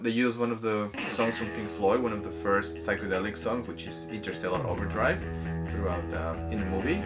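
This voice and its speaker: A man co-hosting a casual review conversation, speaking haltingly and rephrasing as he goes. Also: lowish voice. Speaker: co-host of a movie review. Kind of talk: casual conversational discussion of film and spirituality.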